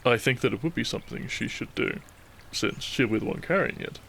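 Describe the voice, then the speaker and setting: An actor playing a devoted gentleman doctor and bridegroom in a romantic audio drama, tender, earnest and polite. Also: medium pitch, a little fast, creaky, slight background noise, poor audio.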